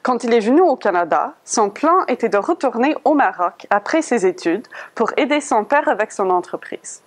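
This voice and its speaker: A woman's voice: on the high side, animated, moderate pace. Woman narrating a museum tour. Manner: reads a prepared script, posing questions. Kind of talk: scripted guided-tour narration.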